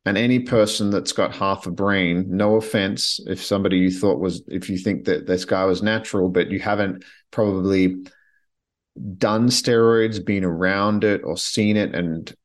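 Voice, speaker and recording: A man's voice: low voice, fairly even intonation, normal speed. A man hosting a podcast, talking bluntly and with strong opinions in a casual monologue, often restarting his sentences. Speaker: podcast host.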